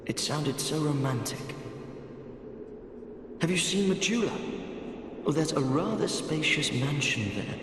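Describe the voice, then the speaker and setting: A man voicing a gentle, absent-minded wandering mapmaker in a video game, courteous and hesitant, his thoughts drifting. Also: a little high, expressive, measured pace, long pauses. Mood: wistful, courteous. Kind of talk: video game character dialogue. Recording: echoey long reverb, clear background noise.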